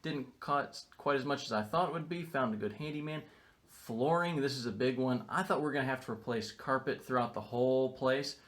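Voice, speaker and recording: Male vlogger, friendly and explanatory, mid pitch, walking through a rental makeover. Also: fairly quick, a bit bright. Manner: upbeat, explanatory, matter-of-fact. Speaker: real estate investor vlogger. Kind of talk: casual video walkthrough. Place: renovation site, indoors and outdoors. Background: construction noise.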